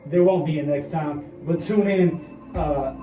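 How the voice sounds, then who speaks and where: Strained teen guy drawling slow goodbyes, echoey and far from the mic. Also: on the high side, music in the background. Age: late teens. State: strained, held in an awkward position.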